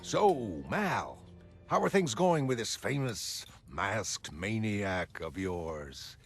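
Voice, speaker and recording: A man's voice: fairly low pitch, big pitch swings, slow pace, breathy edge, soft background music, voice pushed up, full character voice. A man voicing a prying, flippant dinner guest in a motion comic, with an arch, teasing manner. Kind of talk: acted dialogue in an animated motion comic.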